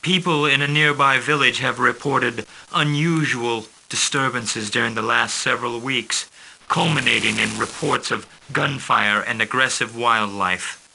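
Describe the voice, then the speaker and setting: An actor playing a commanding mission controller who gives clipped, formal orders in a game cutscene. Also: male voice, medium pitch, unhurried, gravelly, pushed and bright, exaggerated voice, rough recording. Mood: grave.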